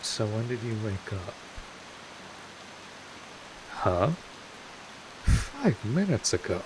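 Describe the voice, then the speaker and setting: A man's voice: lowish voice, exaggerated voice. A man playing a seasoned, streetwise vampire mentor in an audio roleplay, wry and casual as he talks patiently and reassuringly to the listener. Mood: wry, measured. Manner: wry, unhurried, casual, with swearing. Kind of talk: scripted audio roleplay, one-sided conversation to the listener. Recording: steady hiss, rain-like noise.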